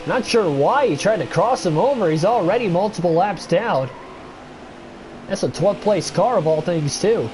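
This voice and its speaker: A man's voice: high-pitched, animated, normal speed, background noise. A young man with a stuffy nose, casually chatting with viewers and commenting on a racing game during a livestream, rambling with many fillers. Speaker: gaming livestreamer.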